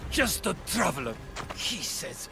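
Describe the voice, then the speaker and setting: An actor playing a sly, smirking, cowardly informant in a video game, with a taunting, theatrical manner. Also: male voice, a little high, sing-song, measured pace, vocal fry, music in the background, heavily acted. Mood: sly, mocking.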